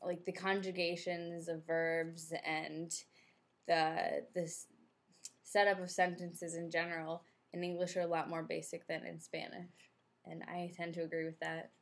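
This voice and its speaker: A woman's voice: lowish voice, flat delivery, a little slow. A young American woman answering interview questions in a chatty, casual way, leaning on filler words. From United States. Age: young adult. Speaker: interviewee, former exchange student.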